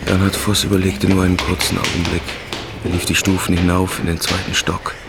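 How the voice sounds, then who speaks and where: A deep male voice delivers scripted audio drama narration. Loud music runs behind the words. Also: completely flat delivery, a little fast, creaky.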